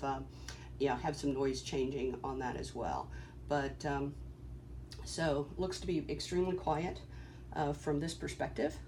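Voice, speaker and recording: A person giving an informal, halting technical demonstration in an explanatory way. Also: mid-range voice, a little mellow. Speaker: presenter demonstrating equipment. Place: small cluttered office workroom, indoors. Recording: faint background room noise.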